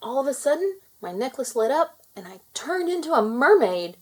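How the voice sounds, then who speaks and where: Lady doing a sweet little mermaid girl, high voice, super emotive and earnest, storybook style. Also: very animated, normal speed, darkish tone, breathy edge, full character voice. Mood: upset, earnest.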